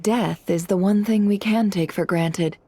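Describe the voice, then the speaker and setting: A woman voicing a composed, shrewd businesswoman in a video game, measured, cool and formal. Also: medium pitch, music in the background, natural voice. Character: composed, shrewd businesswoman boss, wry and grave.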